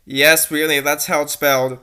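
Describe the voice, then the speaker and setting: A woman's voice: sits low, little pitch movement, normal speed, pushed and bright, clean tone. A woman doing a comedic read-aloud of fanfiction, with mocking, sarcastic asides and a tendency to giggle. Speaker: fanfiction reader and commentator.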